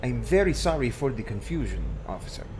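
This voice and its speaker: Polite, flustered sci-fi engineer played by a man, mid-pitched and apologetic, comedy radio play over loud music. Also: very animated, a little fast, close to an everyday voice. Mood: meek, apologetic. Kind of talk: sci-fi comedy audio drama.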